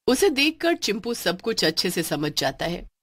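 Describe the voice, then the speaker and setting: A woman's voice: mid-range voice, sing-song, normal speed. A woman reading a scripted story as its narrator.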